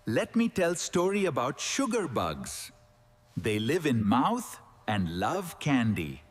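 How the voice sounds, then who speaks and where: A man voicing a kind, patient cartoon dentist who speaks calm, simple broken English. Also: medium pitch, takes it slowly, husky, in a large hall, heavily acted. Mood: reassuring.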